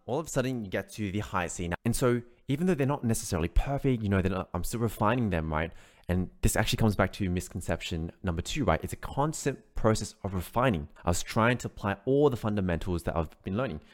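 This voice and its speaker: A man's voice: on the low side, lively intonation, fast talker. A man teaching an online singing lesson, explaining steps in an encouraging way and often checking in with "right?". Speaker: vocal coach.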